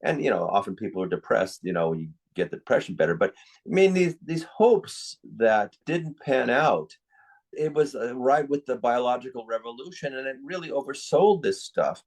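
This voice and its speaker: A man's voice: medium pitch, animated, moderate pace, a little mellow. An older man speaking as a psychiatrist in an interview, giving his opinions in a reflective, conversational way.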